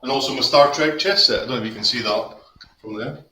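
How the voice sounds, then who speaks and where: A man's voice: mid-range voice, fast talker, pushed and bright, echoey room, a little hiss or hum. A man in his fifties with an Aberdeen Scottish accent, chatting as a guest on a live stream, rambling with lots of restarts and fillers. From Scotland. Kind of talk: casual live-stream chat. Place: home office. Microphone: video call.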